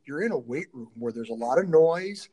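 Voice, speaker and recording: A middle-aged man, a strength coach being interviewed, talking casually and haltingly, often thinking aloud and trailing off. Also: fairly high pitch, lively intonation, mellow.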